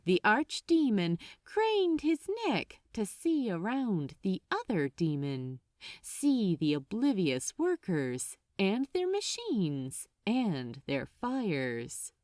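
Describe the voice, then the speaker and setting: A woman reading fiction aloud as a dramatic, deliberate and suspenseful storyteller. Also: mid-range voice, huge pitch swings, a little mellow, no room sound, clean studio sound. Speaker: fan fiction narrator.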